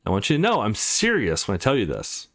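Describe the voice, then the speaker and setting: A man's voice: lowish voice, wildly swooping pitch, a little fast, a bit bright, exaggerated voice. A man voicing an earnest, troubled teenage boy in a visual novel, serious and insistent as he explains himself. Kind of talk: visual novel character reading.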